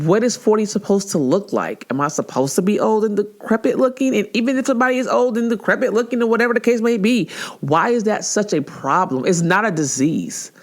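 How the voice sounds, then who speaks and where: A woman in her forties hosting her own podcast in a casual monologue, chatty and animated, and often jumping much higher in pitch when she acts out something someone said. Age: 40s. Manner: laughs easily, with frequent filler words and restarts. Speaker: podcast host.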